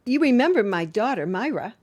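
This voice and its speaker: An anxious mother of grown children in a radio drama. Her voice is a little high, with a hesitant, polite formality. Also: big pitch swings, a little fast, darkish tone.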